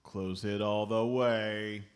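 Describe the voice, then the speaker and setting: A man's voice: lowish voice, fairly even intonation, slow pace. A man playing an earnest, bossy amateur sleuth, stern and fussy, in a live comedy radio play. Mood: businesslike. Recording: noisy live stage recording.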